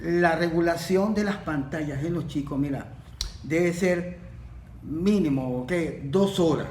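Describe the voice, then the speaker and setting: A man's voice: fairly high pitch, measured pace, a little mellow. A man explaining his points in a podcast discussion, talking conversationally and repeating phrases for emphasis. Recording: echoey room, off-mic, faint noise.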